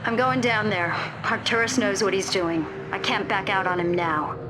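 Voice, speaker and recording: A woman's voice: mid-range voice, normal speed, music in the background, natural voice. An actress playing a loyal, resolute female military lieutenant in a sci-fi game, speaking firmly and tersely. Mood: calm, determined. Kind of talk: sci-fi game cutscene acting.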